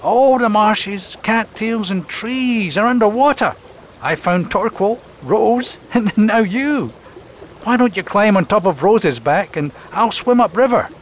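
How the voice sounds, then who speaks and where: A kind, steady little river creature who gently reassures his friends, put on by a man reading aloud. His voice is raised a little above the reader's own, with an animated, sing-song lilt. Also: high voice, measured pace, slight background noise, voice pushed up. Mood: reassuring. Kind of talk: storybook character voice.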